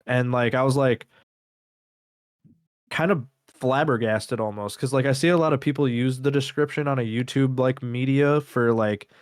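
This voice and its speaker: A man with an average-pitched voice co-hosts a podcast. He chats in a laid-back, slangy style with some cursing, recorded close and dry. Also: moderate pace, clean tone, no room sound. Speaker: podcast co-host reviewing web horror series. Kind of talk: casual conversational chat.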